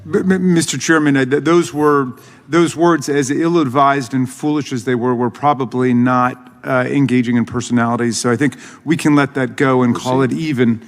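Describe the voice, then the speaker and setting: Halting man in echoing chamber. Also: medium pitch, steady tone. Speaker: committee member. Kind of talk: formal procedural remarks. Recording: reverberant hearing room.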